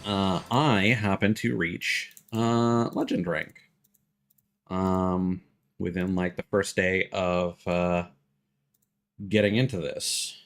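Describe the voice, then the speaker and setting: A man talking casually as a live streamer, halting and hesitant as he thinks aloud. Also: low voice, fairly even intonation. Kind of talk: casual livestream chat.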